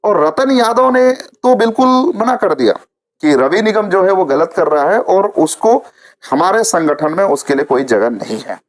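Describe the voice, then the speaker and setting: A man's voice: sits high, animated, unhurried. Man giving informal news commentary. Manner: repeats phrases for emphasis. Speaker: commentator presenting a community news video. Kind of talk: informal spoken news commentary addressed to viewers.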